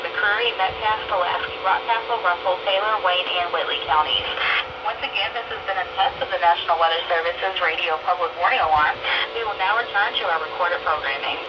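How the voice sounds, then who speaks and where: An announcer reading a scripted public warning test in a formal, official manner over weather radio. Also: medium pitch. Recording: noisy, muffled weather radio broadcast.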